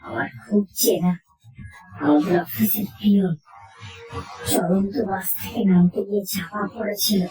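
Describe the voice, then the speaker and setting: A woman narrating a horror story in the first person, in a suspenseful, theatrical way with measured phrases. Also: mid-range voice, fairly even intonation. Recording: echoey, distant, music underneath.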